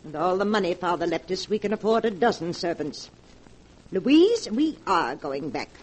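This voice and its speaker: An actress playing an indignant, strong-willed elderly aunt in 1940s radio style. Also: female voice, fairly low pitch, very animated, moderate pace, darkish tone. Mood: determined. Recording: noisy vintage 1940s radio recording.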